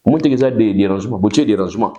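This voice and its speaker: Congolese-accented man, political talk guest, mellow and dark, long explanations, mixes French and Lingala. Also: fairly low pitch, very fast, highs cut off. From Congo-Kinshasa. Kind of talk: political talk show discussion.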